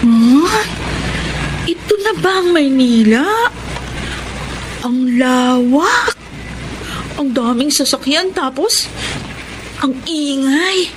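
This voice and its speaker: A woman's voice: high-pitched, lively intonation, extremely slow, long pauses, noisy background, full character voice. A woman playing an earnest, hopeful young student from the province in a Filipino radio drama. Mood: awed, anxious.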